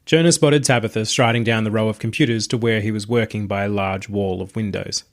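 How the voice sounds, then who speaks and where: A man reading a scripted short story as narrator, in a measured, descriptive storytelling manner. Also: fairly low pitch, fairly even intonation, soft background music.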